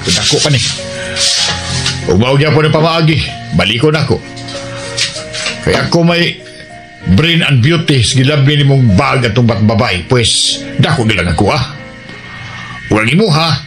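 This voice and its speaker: An actor with a Cebuano accent playing a gruff street-gang boss softened by pity, emphatic and exclamatory in a radio drama. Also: male voice, mid-range voice, expressive, moderate pace, long pauses, close to an everyday voice. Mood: reflective, remorseful. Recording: radio drama with music underneath.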